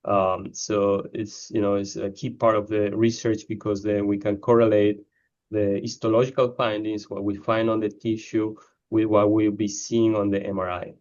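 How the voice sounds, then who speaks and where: A man from Ecuador answering interview questions about his research, often pausing with fillers. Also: fairly low pitch, level tone, a little mellow, muffled recording. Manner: hesitant, many fillers. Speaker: neurosurgeon researcher.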